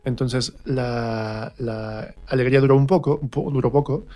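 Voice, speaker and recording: Mexican man chatting, mid-pitched voice. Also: steady tone, a little slow, dark tone. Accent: central Mexican. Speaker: interview guest, stage actor. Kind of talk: casual personal storytelling in a radio interview.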